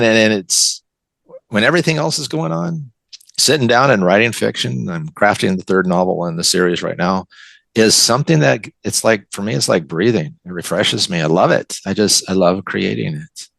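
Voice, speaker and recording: A man's voice: on the low side, gravelly, a bit bright, no echo. An older American man, a writer from the West Coast, giving enthusiastic, reflective answers as an interview guest. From West Coast of the United States. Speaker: guest, novelist and college teacher.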